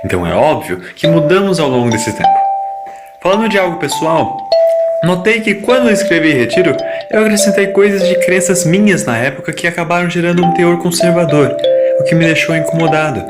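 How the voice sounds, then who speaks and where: A Brazilian man narrates an audio drama from a prepared script. His voice sits a little higher than most men's. Prominent music plays behind him as he reads. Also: lively intonation, a little fast.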